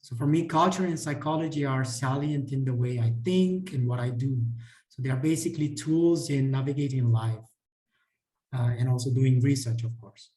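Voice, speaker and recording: Guy, warm mellow voice, far off the mic in an echoey room. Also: mid-range voice, normal speed. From Romania. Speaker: researcher, panelist. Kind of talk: self-introduction on a video call.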